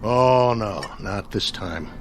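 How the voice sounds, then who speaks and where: A man's voice: lowish voice, slow, noisy background. A man playing a bitter, selfish crewman desperate to survive in a radio drama, with a sardonic and confrontational manner. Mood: defiant.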